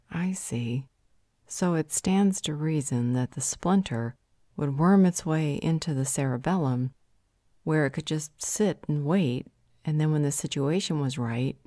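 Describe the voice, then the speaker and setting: A woman plays a strong-willed female vampire in an audio roleplay. Her voice is low and mellow, recorded close and dry, with a measured, thoughtful delivery that has a dry edge of authority. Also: no room sound. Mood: thoughtful, curious. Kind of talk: roleplay audio drama acting.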